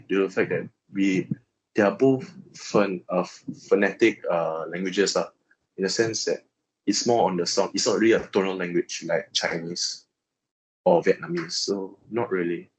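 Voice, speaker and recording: A man's voice: sits low, a little hiss or hum, rough recording. A young Malaysian man with a Malaysian accent, answering interview questions casually and hesitantly, with many fillers and restarts.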